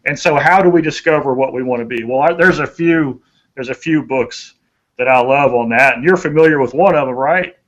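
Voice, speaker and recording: A man's voice: mid-range voice, a little fast, fairly bright tone, dull audio. A man giving conversational motivational advice as a podcast co-host, in a folksy, earnest way, repeating phrases for emphasis.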